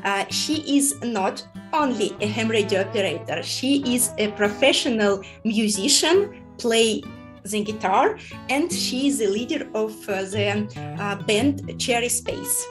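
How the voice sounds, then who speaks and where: A woman's voice: on the high side, lively intonation, measured pace, over music. A woman who is not a native English speaker, giggly and cheerful, chatting casually in a language tutorial as host and learner. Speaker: amateur radio host learning Japanese.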